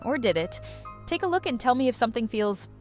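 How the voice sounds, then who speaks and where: A woman's voice: on the high side, sing-song, fairly quick, soft background music. A woman hosting a video with casual, playful, exaggerated commentary for young viewers. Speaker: host of a reaction video channel.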